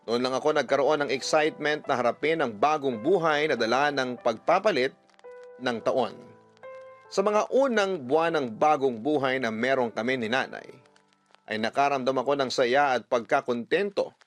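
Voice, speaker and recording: Radio host, male, Filipino accent, medium pitch, earnest and sentimental storytelling delivery, dry studio sound. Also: dry recording. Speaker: radio drama host reading listeners' letters. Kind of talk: dramatic first-person letter narration.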